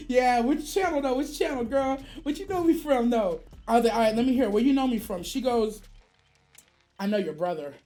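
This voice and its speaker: A woman's voice: on the high side, darkish tone. A woman streamer telling a casual story in profane slang, acting out both sides of the dialogue.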